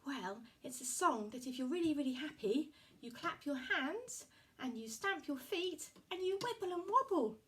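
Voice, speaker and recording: A cheerful, innocent little girl from a storybook puppet show, voiced by a woman. She speaks high and lively, with polite good manners. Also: normal speed. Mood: happy.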